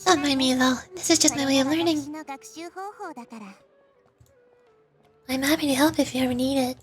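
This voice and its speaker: A woman voicing a gentle, wise child deity in a game, curious and earnest, thoughtfully giving advice. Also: high voice, steady tone, quick pace, natural voice. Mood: earnest, pensive. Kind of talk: scripted game character dialogue. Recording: game music behind the voice.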